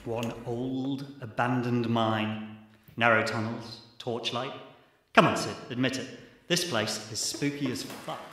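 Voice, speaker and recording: A man's voice: medium pitch, fairly even intonation, slow, pushed and bright. A man acting a chatty, wry young geology researcher in a radio drama. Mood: musing. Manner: wry, talkative. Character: chatty, skittish male geology postdoc, poetry-loving and wry. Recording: echoing, cave-like reverberant sound.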